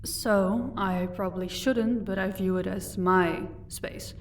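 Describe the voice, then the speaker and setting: A woman's voice: mid-range voice, steady tone, slow, recorded from a distance, lightly acted. Composed, commanding female vampire, played by a woman for audio roleplay; haughty, recorded a little off-mic with faint noise. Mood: calm, affectionate. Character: commanding female vampire, protective wife, cold to captives.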